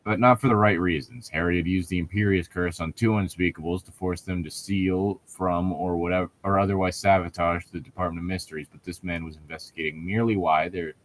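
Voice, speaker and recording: A man's voice: low-pitched, steady tone, brisk, hardly any pauses. A man reading a story aloud as a co-host, breaking off for sarcastic, sweary, irreverent asides. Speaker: co-host reading fan fiction aloud with mocking commentary. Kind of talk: read-aloud narration broken by sarcastic asides.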